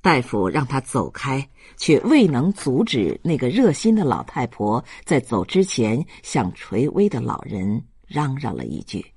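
A man's voice: medium pitch, sing-song, unhurried, creaky, few pauses, a little mellow, breathy edge, no room sound, clean studio sound. A man reading scripted literary narration as an audiobook narrator.

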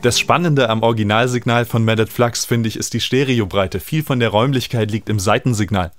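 A man, explaining music software informally as he demonstrates it in a tutorial. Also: medium pitch, brisk. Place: small indoor home studio. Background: electronic music he is working on.

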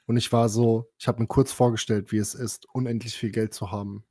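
Excitable, crude young man chatting. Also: lowish voice, fairly quick, dry recording, studio quality. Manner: crude, excitable, swearing, mixing in English slang. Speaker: podcast host. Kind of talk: casual chat.

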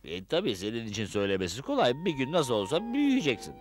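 A man's voice: medium pitch, huge pitch swings, fast. A man voicing a grumpy, scheming little wizard in a cartoon dub, whining and sputtering with theatrical false sweetness. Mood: miserable. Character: grumpy, scheming male wizard shrunk small, feigning niceness. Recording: music behind the voice.

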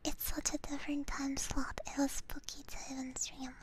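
A woman whispering softly and casually to her viewers as an ASMR livestreamer, close to a binaural microphone. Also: high-pitched, completely flat delivery, normal speed, poor audio. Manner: soft, hushed whispering.

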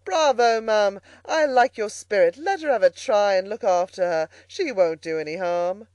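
A woman voicing a kindly, genteel gentleman motorist in a storybook, solicitous, courteous and emphatic. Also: lowish voice, sing-song, a little slow, no echo. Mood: hearty approval.

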